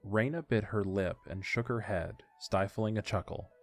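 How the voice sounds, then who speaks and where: A man's voice: on the low side, normal speed, faint music behind. A man reading scripted story narration as the narrator of an audiodrama.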